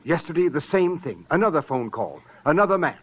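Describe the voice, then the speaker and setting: A dull vintage radio recording with faint noise. a rich, self-important gentleman in a fairly high voice. He speaks formally and earnestly. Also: big pitch swings, a little fast. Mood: anxious, earnest. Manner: polite, formal, precise. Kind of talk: 1940s radio drama acting.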